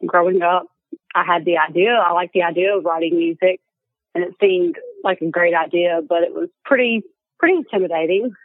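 A woman's voice: on the low side, expressive, brisk, no room sound. A young woman with an Alabama accent, a guest chatting casually and laughing often. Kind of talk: casual chat. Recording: tinny phone line, dry.

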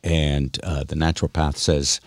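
Deep-voiced, wry man hosting talk radio. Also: little pitch movement, moderate pace, no echo, clean studio sound. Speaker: radio show host. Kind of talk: casual radio banter.

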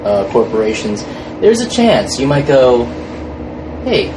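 A male actor being interviewed, chatting casually in a halting, wry manner. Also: mid-range voice, very animated. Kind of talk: casual joking interview chat. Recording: noisy room with air-conditioning hum.

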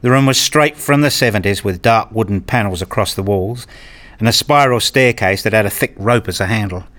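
A man's voice: lowish voice, a little fast, fairly bright tone, lightly acted. A man playing an earnest married father and hobbyist, narrating and acting his scripted story in a radio play. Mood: descriptive. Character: eager married father and hobbyist, a little rueful. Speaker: narrator and main character.

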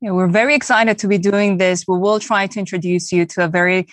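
A woman's voice: medium pitch, steady tone, a little fast, dry recording. A woman in her forties who grew up in Bolivia and speaks with a hard-to-place accent, chatting in a friendly way as a podcast co-host and news anchor. She laughs easily while she talks. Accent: hard-to-place mixed accent. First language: Spanish and Korean. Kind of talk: conversational podcast chat.

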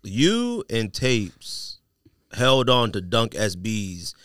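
Man, podcast co-host, animated swinging pitch, slangy, emphatic, casual banter, dry close sound. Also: extremely slow, no echo.

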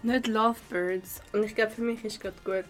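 A woman's voice: medium pitch, fairly even intonation, normal speed, faint music behind, close to an everyday voice. A woman playing a cautious but curious young party guest in a contemporary radio play. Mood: hesitant.

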